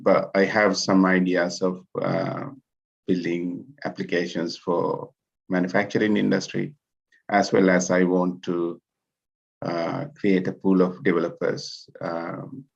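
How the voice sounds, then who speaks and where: A man's voice: sits low, flat delivery, a little slow. A man from Sri Lanka introducing himself as a student on a video call, speaking hesitantly with many filler sounds. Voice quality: gravelly, husky. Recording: dull lo-fi call audio.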